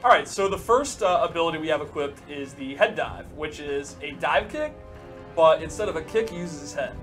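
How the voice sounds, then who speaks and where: A man with a slightly high voice walks through game moves on a live stream. He explains things in an instructive way while music plays clearly behind him. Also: lively intonation, moderate pace, fairly bright tone. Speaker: game developer demonstrating moves. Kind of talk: live stream gameplay demonstration.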